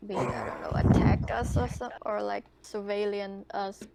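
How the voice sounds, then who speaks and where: A woman's voice: medium pitch, very animated, normal speed, vocal fry, heavy background noise. A woman chatting informally, with some swearing, as a player in a tabletop role-playing game. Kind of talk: casual game table chat.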